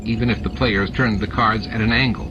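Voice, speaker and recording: A man's voice: fairly low pitch, steady tone, brisk, noisy background. Male audiobook narrator, dull lo-fi sound. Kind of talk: scripted narration of a novel. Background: music and sound effects.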